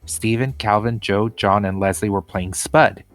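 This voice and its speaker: A man's voice: on the low side, measured pace, no room sound, soft background music. A man reading a children's book chapter aloud as scripted storybook narration.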